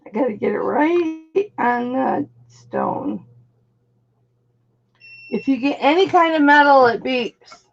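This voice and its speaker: Hoarse, gravelly woman vlogger. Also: sits high, big pitch swings, slow pace, long pauses, muffled recording. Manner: chatty, exclaims when frustrated. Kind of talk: casual chat while testing stones.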